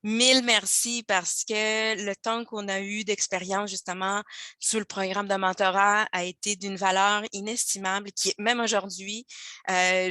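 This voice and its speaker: A native Spanish-speaking woman from Mexico with a Mexican accent, giving an informal testimonial in a halting, enthusiastic way with repeated words. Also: mid-range voice, bright, forceful tone, no room sound. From Guadalajara, Mexico. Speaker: former mentee giving a testimonial. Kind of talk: informal testimonial in an online talk.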